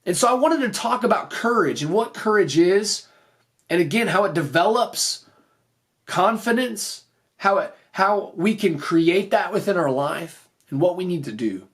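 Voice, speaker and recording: A man's voice: sits high, animated, unhurried, fairly bright tone. A man hosting a motivational podcast, speaking in an earnest, explanatory way, his voice slightly off after recently losing it. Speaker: podcast host and teacher.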